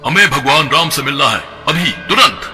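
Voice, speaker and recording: A man voicing a quick-tempered old sage in a devotional cartoon, speaking in an angry, commanding way. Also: on the high side, animated, bright, forceful tone, in a large hall, soft background music, pitched above the performer's own voice. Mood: furious.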